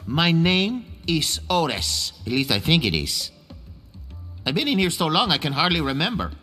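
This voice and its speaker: A man's voice: a little high, big pitch swings, moderate pace, sharp tone, soft background music, exaggerated voice. A man playing a wretched, pleading prisoner in a cartoonish video game, whiny and theatrical. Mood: chatty, rueful. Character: pleading, foul-breathed, wretched male jailbird.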